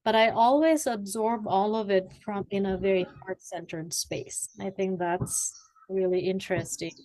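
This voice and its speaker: A woman in her forties, originally from Sri Lanka, speaks as an interview guest. Her voice sits at a middle pitch, and she tells her story in a reflective, searching way, pausing often on fillers. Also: fairly even intonation, darkish tone, rough recording. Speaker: guest, executive coach. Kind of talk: conversational interview.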